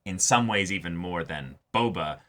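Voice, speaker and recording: A man co-hosting a casual discussion of a TV episode, talking in a halting way and often repeating words as he thinks aloud. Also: low-pitched, lively intonation, fairly bright tone. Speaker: co-host of a reaction show.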